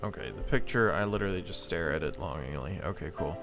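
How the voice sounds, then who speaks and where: A man's voice: low voice, lively intonation, fast. A man giving casual let's play commentary, thinking aloud hesitantly as he plays. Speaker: game streamer. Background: game music.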